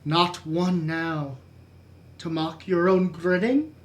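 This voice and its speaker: A brooding, anguished young prince acted out by a poetry reader delivers a stage soliloquy very slowly. The delivery is theatrical and halting, swelling and fading around a middling pitch. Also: close to an everyday voice. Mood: grieving, impassioned.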